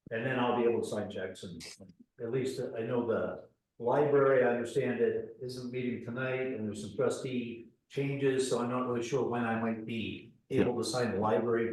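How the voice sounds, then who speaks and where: A man of about sixty, the town treasurer, giving a halting, self-deprecating report with fillers and restarts. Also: medium pitch, a little mellow. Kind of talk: meeting report, casual. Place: town meeting room. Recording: very echoey room, voice far from mic.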